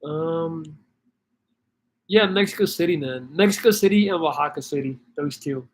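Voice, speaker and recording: A man's voice: fairly high pitch, normal speed, slight background noise. A man from Belgium chatting casually as a stream host, rambling with many restarts and fillers while answering viewer questions. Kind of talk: casual chat reading comments.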